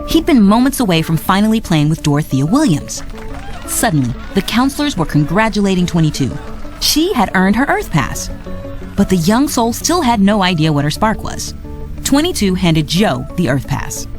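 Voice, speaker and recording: A woman narrating a read-along storybook in an expressive, scripted storytelling style. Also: mid-range voice, moderate pace. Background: music.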